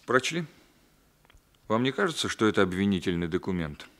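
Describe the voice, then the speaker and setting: An actor playing a firm, dry police captain in a 1960s radio drama, speaking in terse, measured, coolly ironic phrases. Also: male voice, sits low, big pitch swings, fairly bright tone. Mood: probing.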